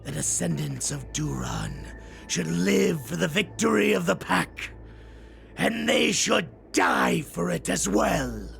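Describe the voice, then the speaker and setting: An actor voicing a zealous wolf-man warrior with a rough gravelly voice that moves from whispers to shouts. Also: fairly high pitch, sing-song, takes it slowly, faint music behind, full character voice. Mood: fanatical.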